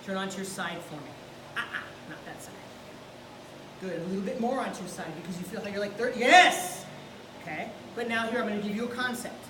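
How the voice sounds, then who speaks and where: A woman's voice: medium pitch, brisk. A woman jiu-jitsu instructor coaching a student step by step while grappling on the mat. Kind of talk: hands-on technique teaching. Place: large indoor gym with mats. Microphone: camera far across the room. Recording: echoey gym from far off, noisy.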